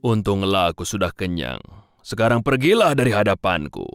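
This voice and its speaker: A man's voice: fairly low pitch, sing-song, fairly bright tone, clear, resonant tone, no room sound, studio quality. A man doing a proud, haughty lion king. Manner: slow, mocking and haughty. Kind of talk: children's storybook voice acting.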